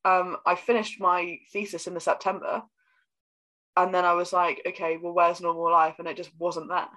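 Co-host: woman, twenties, lowish pitch, crisp bright tone, rambling. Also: little pitch movement, moderate pace, low-quality recording. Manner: teasing, rambling, many ums and likes. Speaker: podcast co-host. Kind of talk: casual chat.

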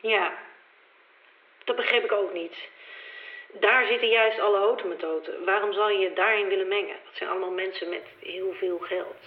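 A young woman playing the worried, loyal sister of a missing man in an audio drama, talking casually and haltingly on a roomy, thin recording. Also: medium pitch, fairly even intonation, natural voice. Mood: protective, puzzled. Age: young adult. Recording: roomy, thin phone-like old tape.

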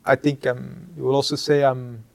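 A middle-aged man, a Dutch speaker with a Flemish accent, talking as a company executive about his leadership, pausing often to search for words and tagging points with "huh?". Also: mid-range voice, level tone, vocal fry.

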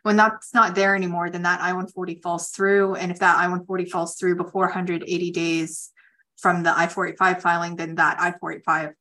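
A woman attorney hosting a conference call, explaining legal points in measured, pausing phrases. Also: fairly low pitch, level tone, sharp tone.

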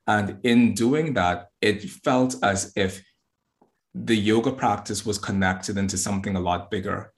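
A man's voice: lowish voice, unhurried. A man from the Bahamas, a guest astrologer and yoga teacher, talking earnestly in a casual interview and often restarting his words.

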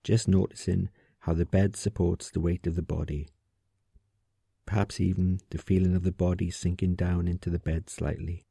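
Very mellow, low, gravelly male meditation guide. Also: flat delivery, dry recording, studio quality. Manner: soothing, gentle, unhurried, with pauses. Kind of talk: guided sleep meditation, calm instruction.

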